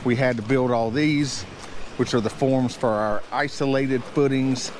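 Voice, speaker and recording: A man with a medium-pitched voice talks casually to a handheld camera on an outdoor building site. He explains things like a builder showing people around, easygoing and a little hesitant. Faint outdoor site noise sits behind his voice. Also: fairly even intonation, a little slow. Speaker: builder hosting a build vlog. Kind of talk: casual walkthrough narration to camera.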